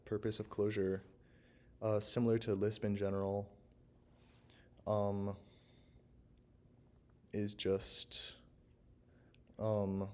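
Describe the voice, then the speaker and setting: Young male student, hoarse from a sore throat; slow, flat, halting walkthrough. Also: fairly low pitch. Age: young adult. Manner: halting, unscripted, frequent pauses. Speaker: university student presenter. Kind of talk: recorded class presentation, screen walkthrough.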